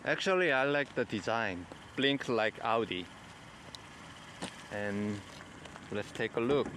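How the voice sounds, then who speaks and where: A man with a Korean accent, whose first language is Korean, gives a car review walkthrough in plain, halting phrases. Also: medium pitch, lively intonation, background noise. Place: in and around a car.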